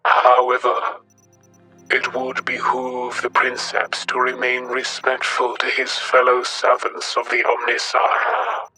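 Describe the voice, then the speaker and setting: A man voicing a formal, coldly irritated machine-priest in an audio story, speaking in a crackling mechanical monotone through a robotic modulator. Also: medium pitch, pitched above the performer's own voice, heavily acted. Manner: formal, measured. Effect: crackling robotic vocal modulator.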